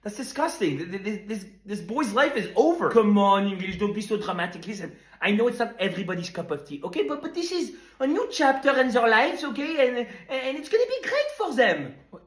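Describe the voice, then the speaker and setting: A man rattles through a comic sketch, his pitch jumping all over the place as he stammers and repeats himself. He talks fast, and the echo of a roomy space hangs on every line. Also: big pitch swings.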